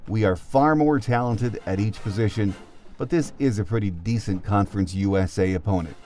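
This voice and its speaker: A man's voice: fairly low pitch, normal speed, dark tone, soft background music. A man hosting a sports podcast, delivering a prepared opinion monologue as a devoted fan. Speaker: podcast host.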